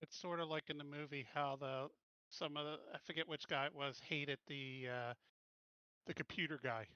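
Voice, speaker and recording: A man's voice: fairly high pitch, steady tone, normal speed, pushed and bright, smooth, dry recording, muffled recording. A man talking casually as a player in a group discussion about a tabletop game.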